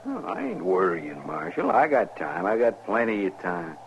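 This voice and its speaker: An actor playing a coolly patient, taunting outlaw prisoner in 1950s radio drama style, with a rural American western accent. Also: male voice, low voice, sing-song. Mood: patient menace. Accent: rural American West. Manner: mocking, unhurried needling. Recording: muffled, lightly noisy vintage radio recording.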